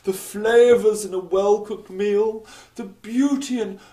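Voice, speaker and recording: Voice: mid-range voice, fairly even intonation, takes it slowly, dark tone, natural voice. A performer playing an emotional old king who talks theatrically, with exclamations and wails, in a storybook tale. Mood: pleading. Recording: roomy echo.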